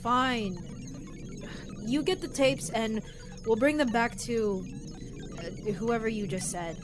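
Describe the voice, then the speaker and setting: A woman's voice: a little high, slow, music in the background. A woman playing a guarded young tech tinkerer in an audio drama, casual in dialogue and in first-person narration. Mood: guarded, brisk.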